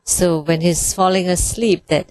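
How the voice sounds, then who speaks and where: A woman interpreting a Buddhist sermon phrase by phrase in a measured way. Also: fairly low pitch, steady tone, darkish tone, poor audio.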